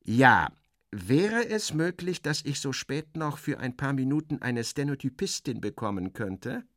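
A man's voice: medium pitch, sing-song, a little slow, a bit bright, no room sound, voice pushed up. A male narrator plays a courteous, businesslike journalist in an audio drama. The man speaks with formal politeness and careful phrasing, in a very dry, close sound. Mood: polite, businesslike.

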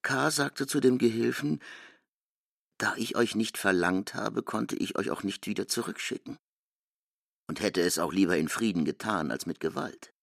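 A man's voice: lowish voice, normal speed, creaky, no echo, clean studio sound. A man reading scripted literary narration with quoted dialogue.

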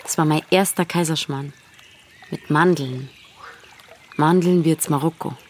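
Low-voiced, blunt Bavarian village woman. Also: a little slow. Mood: wry, wistful. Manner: dry, plain-spoken, laconic. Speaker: lead actor and narrator. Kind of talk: radio drama narration and dialogue.

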